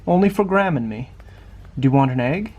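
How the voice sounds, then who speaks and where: Storybook mum, played by a man: practical, brisk, coaxing, on the higher side. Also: lively intonation, darkish tone. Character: practical mother of two small boys.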